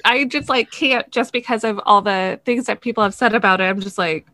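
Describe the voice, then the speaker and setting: A woman chats casually on a podcast in a middle pitch. Her manner is informal and joking, and the sound is dry, with no room around it. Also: fairly quick, bright, forceful tone, dry recording. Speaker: podcast co-host, librarian. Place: remote video call recording.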